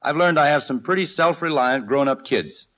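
An actor playing a calm, good-humored father in a 1950s radio sitcom, relaxed and reassuring. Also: on the high side, normal speed, muffled recording, close to an everyday voice.

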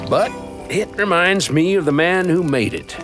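An actor playing a weathered Western storyteller narrating by a campfire in a radio drama, with a drawling, folksy manner. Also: male voice, high voice, lively intonation, normal speed. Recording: music behind the voice.

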